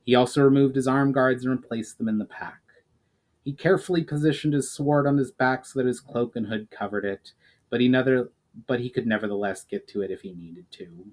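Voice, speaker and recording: Male narrator for fantasy fiction, dramatic storytelling delivery, medium pitch, with the odd stumble and reread. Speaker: author reading own novel.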